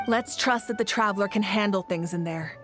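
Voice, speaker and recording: A woman's voice: mid-range voice, a little fast, fairly bright tone, soft background music, close to an everyday voice. A woman playing a composed, authoritative leader in a video game, speaking with poise and decision. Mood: cautious.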